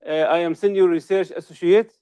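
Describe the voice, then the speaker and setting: A middle-aged man from Syria, giving an academic seminar lecture in a halting way with many hesitations and restarts. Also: high voice, fairly even intonation, mellow, clean tone. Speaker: research associate giving a seminar. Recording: slightly dull lo-fi recording.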